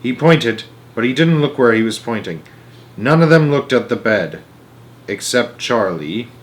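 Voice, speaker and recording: A man with a mid-pitched voice reads a children's book aloud as a storyteller. His delivery is expressive and homemade, with small stumbles that he corrects as he goes. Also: a little slow. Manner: expressive, stumbles over words and corrects himself. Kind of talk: amateur read-aloud narration with asides.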